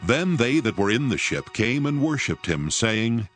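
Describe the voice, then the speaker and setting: A man narrating scripture in a measured, solemn, scripted style. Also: mid-range voice, sing-song, a bit bright. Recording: music bed behind voice. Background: music.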